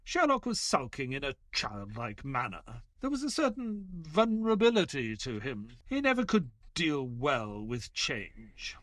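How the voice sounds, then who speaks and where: A man's voice: medium pitch, huge pitch swings, a little slow, few pauses, a bit bright, no room sound. An actor playing a reserved former army man who is companion to a detective and narrator of an audio play. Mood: reflective.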